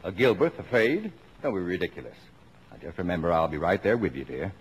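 An actor playing a bossy, cocksure middle-aged father of many children in 1950s American radio drama, brisk and commanding. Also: sits low, big pitch swings, slightly rough, exaggerated voice. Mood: jaunty, confident. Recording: noisy 1950s radio broadcast.

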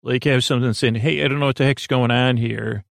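A man with a creaky voice hosts a sleep podcast, meandering through a low-key, self-deprecating bedtime monologue with hesitant, self-interrupted sentences. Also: steady tone, a little fast, no echo, studio quality.

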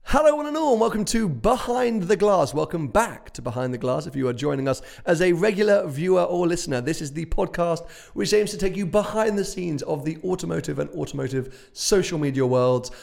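Hoarse, gravelly man hosting a podcast. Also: fairly high pitch, animated, fairly quick, few pauses, darkish tone. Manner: chatty, self-mocking, laughs often. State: ill, hoarse. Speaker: podcast host. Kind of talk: casual podcast chat. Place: unfinished office showroom, indoors.

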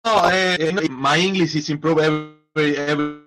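A man, a football coach, talking in a casual interview in halting non-native English with many restarts. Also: fairly high pitch, fairly even intonation, a bit bright, faint music behind.

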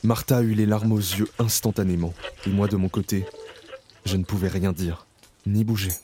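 A man playing a grown survivor who narrates his memories in a slow, reflective, somber way. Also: fairly low pitch, fairly even intonation, dark tone, a little hiss or hum, close to an everyday voice. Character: grown male camp survivor recalling his past. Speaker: first-person narrator. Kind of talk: scripted radio drama narration.

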